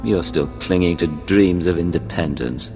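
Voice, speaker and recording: An actor playing a cool, confident male Imperial secret agent in a video game, speaking in a measured, commanding way. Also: low-pitched, vocal fry. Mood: cold, unyielding. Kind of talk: dramatic video game dialogue. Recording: long reverb, music behind.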